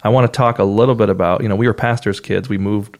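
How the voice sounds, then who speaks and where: A man hosting a church podcast, cheerful and quick to laugh, raised in New England. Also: fairly low pitch, fairly even intonation. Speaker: podcast host. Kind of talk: casual podcast chat.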